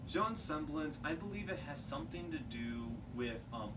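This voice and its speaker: A man with a sore throat co-hosting a casual chat, halting, with many restarts. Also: a little high, expressive, far from the mic, noisy background. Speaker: co-host.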